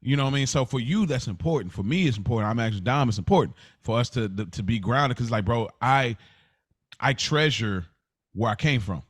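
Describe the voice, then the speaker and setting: A man's voice: medium pitch, expressive, fairly quick, no echo. An American man co-hosting a casual podcast, insistent and repeating phrases to make his point. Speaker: podcast co-host. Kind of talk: casual back-and-forth podcast chat.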